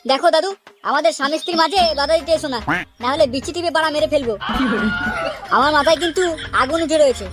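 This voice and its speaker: A brash young cartoon man acted out by a voice performer, pitched very high and talking fast. He is hot-headed and confrontational, forever complaining, over a music bed. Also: close to an everyday voice. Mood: furious. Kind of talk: comedy cartoon dialogue in colloquial Bengali. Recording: music behind the voice.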